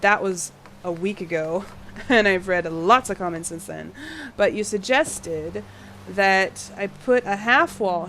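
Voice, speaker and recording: Slightly husky woman, chatty and tired. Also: mid-range voice, a bit bright, slight background noise. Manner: chatty, apologetic, laughs often. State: slightly husky from a fading cold; tired, yawning late at night. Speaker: gaming channel host. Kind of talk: casual game-building commentary. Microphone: home voice mic.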